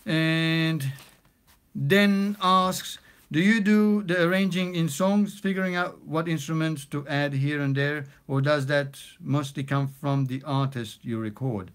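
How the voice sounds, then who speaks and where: A man's voice: a little high, measured pace, fairly bright tone, smooth. A man in his forties, not a native English speaker, chatting casually on a live stream from his small home studio, reading out viewer comments with plenty of fillers. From Australia. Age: 40s. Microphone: phone camera at arm's length.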